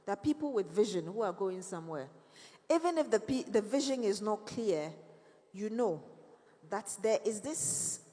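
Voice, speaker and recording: Woman, guest speaker, storytelling motivational talk, mid voice, live hall with long reverb. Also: mid-range voice. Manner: warm storytelling with laughs and faith references. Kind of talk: motivational talk to young people.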